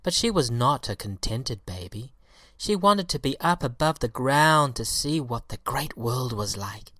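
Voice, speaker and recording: A man reading a children's story aloud in an expressive, deliberate storytelling manner. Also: medium pitch, no echo, clean studio sound. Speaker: audiobook reader.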